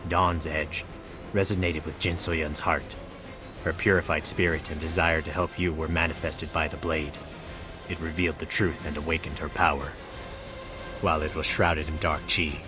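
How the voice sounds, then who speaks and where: An actor playing a solemn mystic mentor in a fantasy video game, speaking in a measured, formal way. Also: male voice, rumbling low, fairly even intonation. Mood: solemn, grave. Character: solemn male mystic mentor guiding a warrior. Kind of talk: scripted game dialogue. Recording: loud music behind. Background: game music.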